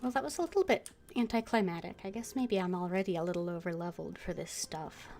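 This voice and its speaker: A woman's voice: fairly low pitch, fast, darkish tone. A woman streaming a video game, giving casual commentary as she plays. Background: game music.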